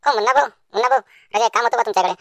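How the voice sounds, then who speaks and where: A woman's voice: on the high side, rapid-fire, no echo, studio quality, close to an everyday voice. A woman voicing a cowardly, cadging young village man in a comedy cartoon, whiny and colloquial, with a Varhadi Marathi accent. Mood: wheedling.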